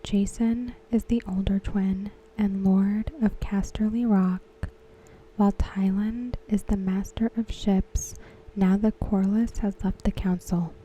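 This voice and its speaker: A woman whispering softly as an ASMR host, talking through a TV episode. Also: medium pitch, fairly even intonation, slow pace, poor audio. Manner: whispered, unvoiced and close. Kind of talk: soft-spoken ASMR commentary.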